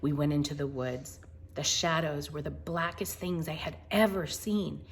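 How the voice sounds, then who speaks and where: Casting: American woman storyteller for kids, slightly low voice, informal and animated, faint ambient noise behind. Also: moderate pace. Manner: informal, chatty, expressive. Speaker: children's read-aloud storyteller. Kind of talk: storybook read-aloud with casual asides to children.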